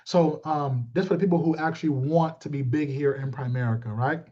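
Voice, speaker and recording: A man's voice: on the high side, brisk, mellow. A man in his thirties giving an informal motivational team talk as a coach, often repeating himself and checking in with listeners. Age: 30s. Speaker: sales team leader and coach. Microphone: video call. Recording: muffled, lo-fi video call audio.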